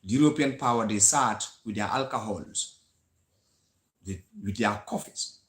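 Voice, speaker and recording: A Gambian man with a Gambian English accent hosts a live history stream in an earnest, lecturing manner, stopping often on fillers and restarting his sentences. His voice sits fairly low. The sound is roomy and echoey. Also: a little slow. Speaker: host of a history channel.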